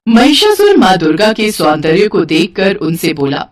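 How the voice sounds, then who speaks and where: A woman's voice: mid-range voice, wildly swooping pitch, moderate pace, recorded from a distance. A woman narrating a scripted mythological tale in a dramatic storytelling style.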